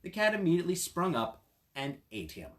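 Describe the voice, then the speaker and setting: A man's voice: a little high, very animated, fairly quick, slight background noise. A man reading a fairy tale and explaining its lesson in an informal, explanatory way. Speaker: attorney reading a fairy tale and giving estate tips. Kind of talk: storytelling and informal explanatory talk.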